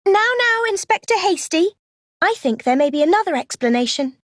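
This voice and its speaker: An actress playing a kindly young lady detective in an animated mystery game, gently questioning and persuading over music. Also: very high voice, moderate pace, no room sound, clean studio sound. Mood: gently probing. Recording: clear music behind the voice.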